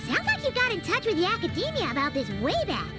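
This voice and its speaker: Squeaky little fairy companion. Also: female voice, huge pitch swings, quick pace, loud music behind the voice, full character voice. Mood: chatty, teasing. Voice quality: squeaky, childlike. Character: tiny childlike floating companion, cheeky and chatty. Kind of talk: video game voice acting.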